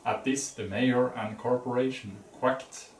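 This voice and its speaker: Echoey man reading verse haltingly. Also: mid-range voice, steady tone, background noise. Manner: halting, checking unfamiliar words. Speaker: amateur reader. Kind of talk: reading old verse aloud. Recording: echoey, distant microphone.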